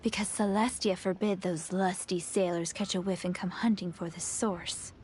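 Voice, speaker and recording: A woman's voice: fairly low pitch, steady tone, normal speed, noisy background, lightly acted. A woman playing a polite, wry young fortune teller in a fantasy video game.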